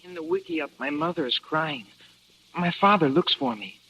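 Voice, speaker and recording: A man's voice: a little high, moderate pace, bright, forceful tone, a little hiss or hum. A male actor playing an earnest, solemn Apache boy who speaks in simple, formal phrases in a radio drama. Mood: solemn, gentle. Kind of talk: 1950s radio drama acting. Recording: muffled old radio recording, music behind.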